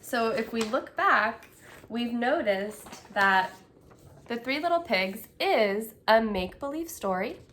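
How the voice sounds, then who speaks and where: Female children's storyteller, expressive and unhurried, with a bright, forward tone. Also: fairly high pitch, a little hiss or hum.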